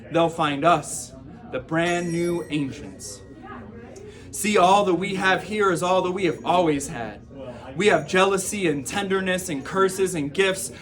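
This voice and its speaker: A man performing spoken-word poetry, reciting in a rhythmic, emphatic way with drawn-out phrases and softened line endings. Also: high voice.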